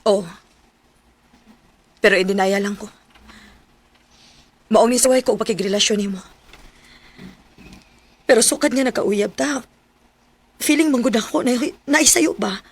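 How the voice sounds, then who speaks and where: A woman's voice: a little high, measured pace, long pauses, slightly rough. A woman acting a blunt, exasperated young girlfriend in a radio drama, with a Cebuano Filipino accent. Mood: regretful, confessing. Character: young woman Sarah, blunt, irritated girlfriend confessing the truth.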